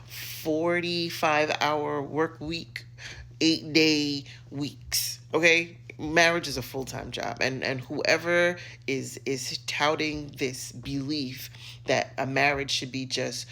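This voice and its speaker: A middle-aged American woman giving an opinionated rant to camera, emphatic, sassy and theatrical, with dramatic pauses and drawn-out words. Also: low-pitched, fairly bright tone. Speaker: social media commentator.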